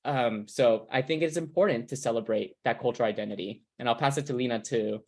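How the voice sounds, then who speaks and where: A man's voice: medium pitch, quick pace. Earnest young man wrapping up. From California. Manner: earnest, reflective, with restarts. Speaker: presenter. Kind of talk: concluding a presentation on a video call.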